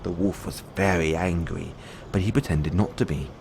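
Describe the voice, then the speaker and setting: A man reading bedtime fairy tales as an audio roleplay, in measured storybook narration. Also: low-pitched, background noise.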